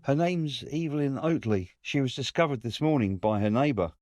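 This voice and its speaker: A man's voice: mid-range voice, lively intonation, normal speed, a little mellow, no room sound, close to an everyday voice. Grave, courteous police detective, played by a man, formal delivery for radio drama, very dry recording. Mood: sombre, formal. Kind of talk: audio drama acting.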